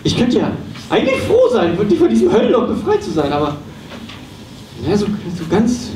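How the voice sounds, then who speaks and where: A man playing a hapless, wistful psychotherapist in a live comedy audio play, halting and doubting himself. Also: sits high, wildly swooping pitch. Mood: wistful, unsure. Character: wistful, hapless male psychotherapist losing his practice. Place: small theatre stage, indoors. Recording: noisy, echoey, distant live stage recording.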